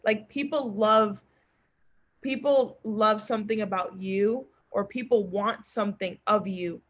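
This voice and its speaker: A woman speaks as a podcast guest in a casual, earnest and supportive way. Her mid-pitched voice comes through a slightly dull, lo-fi remote recording. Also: a little slow. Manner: encouraging, earnest. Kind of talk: casual chat. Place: remote group call.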